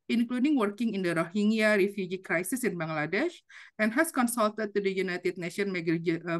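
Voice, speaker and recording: A woman's voice: mid-range voice, a bit bright. A middle-aged woman whose first language is Indonesian, joining by video call to moderate a research talk and reading out the speaker's biography, hesitant, with many fillers and restarts. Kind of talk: formal introduction, reading a bio. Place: home office over video call.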